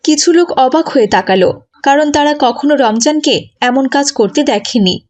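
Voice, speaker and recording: A woman narrates a children's cartoon story from a script. Her voice is a little on the high side. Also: animated, moderate pace, a little mellow.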